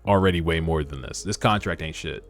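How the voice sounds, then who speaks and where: A man giving animated, slangy, sometimes profane reaction commentary as host of a read-along. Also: deep voice, a little fast. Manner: casual, slangy, profane, excitable. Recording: background music under voice. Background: music.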